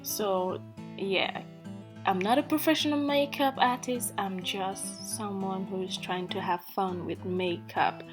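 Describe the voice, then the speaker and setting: A woman from Africa who lives in Norway, with an African English accent tinged by Norwegian, talking slowly and hesitantly through a casual makeup tutorial with many fillers. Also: medium pitch. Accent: African English with Norwegian influence. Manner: slow, hesitant, self-deprecating, many fillers. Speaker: beauty vlogger. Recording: faint background guitar music.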